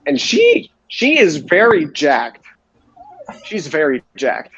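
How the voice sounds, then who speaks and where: A man hosting a podcast, giving a casual wrestling recap in a halting way, often repeating phrases. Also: a little high, huge pitch swings, fairly bright tone, slight background noise. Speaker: podcast host. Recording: roomy, dull lo-fi voice chat.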